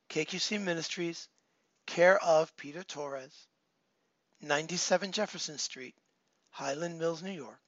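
An earnest man pastor, speaking a little high, leans on repeated phrases and frequent ums. His voice is still rough from a recent cold. The recording is dry and somewhat muffled. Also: a bit bright, no echo. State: recovering from a cold, voice not fully back. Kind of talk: online church service, announcements and appeals.

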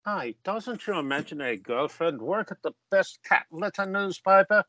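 A man's voice: high-pitched, lively intonation, normal speed, bright, forceful tone, dry recording, lo-fi sound. A man playing a joking eldest brother who teases his younger sister. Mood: teasing. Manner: teasing, jokey banter. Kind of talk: amateur audio drama acting.

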